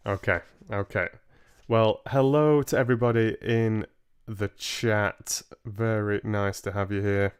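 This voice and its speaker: Slow-talking, low-voiced young man. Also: clean tone. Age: 20s. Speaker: live-stream book reader and host. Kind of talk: casual livestream chat and reading aloud. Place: small home room, indoors.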